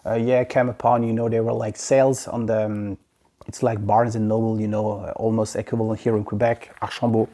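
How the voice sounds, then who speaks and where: A man from France with a French accent, chatting casually about his record purchases in a halting way, full of fillers and restarts. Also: fairly low pitch, level tone, mellow. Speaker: vinyl collector vlogger. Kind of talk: casual record haul review. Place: home in Quebec.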